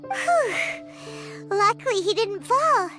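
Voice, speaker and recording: A tiny, excitable fairy companion from a video game. The childlike voice is extremely high and swoops with lively exclamations. Music plays behind. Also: female voice, takes it slowly, exaggerated voice. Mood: alarmed. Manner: exclamatory, speaks of herself in third person. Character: small cheerful excitable fairy girl companion. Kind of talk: video game character voice acting.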